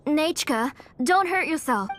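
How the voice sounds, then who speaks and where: A woman's voice: high voice, animated, measured pace, fairly bright tone, a little hiss or hum. A woman playing an anxious, dutiful, protective mother in a video game, earnest and fretful with rather formal wording. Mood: worried scolding. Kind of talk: English video game voice acting. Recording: music behind game dialogue.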